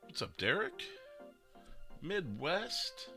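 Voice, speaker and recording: Male podcast host, casual livestream chat with lively swings in pitch and halting phrases, over music. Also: gravelly.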